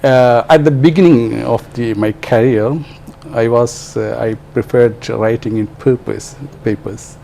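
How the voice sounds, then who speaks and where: A man's voice: medium pitch, fairly even intonation, measured pace, creaky, dark tone, slight background noise. A man from Kerala with a Malayali English accent, a translator answering interview questions hesitantly with many fillers and restarts; his first language is Malayalam. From Kerala, India.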